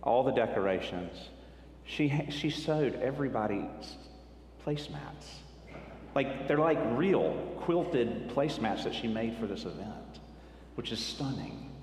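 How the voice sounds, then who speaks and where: A man's voice: mid-range voice, lively intonation, measured pace, darkish tone, a little hiss or hum. A man, speaking to a church retreat group in a friendly, personal storytelling way. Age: 50s. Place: church hall. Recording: echoey hall, faint ambience.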